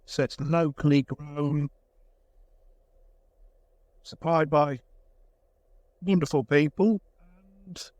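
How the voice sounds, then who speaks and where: Male craftsman interviewee, unhurried and reflective, halting phrasing, casual tone, dry sound. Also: fairly high pitch, darkish tone, no room sound. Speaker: woodworker, craftsman being interviewed.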